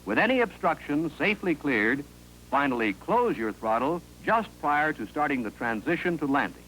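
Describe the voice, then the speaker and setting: An American man reading scripted narration for a 1950s instructional film in a clear, authoritative, instructive manner, on an old film soundtrack. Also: a little high, sing-song, normal speed, fairly bright tone, a little hiss or hum, poor audio.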